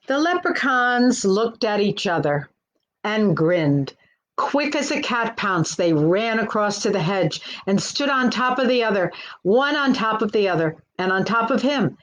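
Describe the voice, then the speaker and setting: A woman reading a picture book aloud as a children's librarian, pausing to point out the pictures. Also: medium pitch, animated, slight background noise. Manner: unhurried, pauses to point out pictures. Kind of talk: picture book storytime narration.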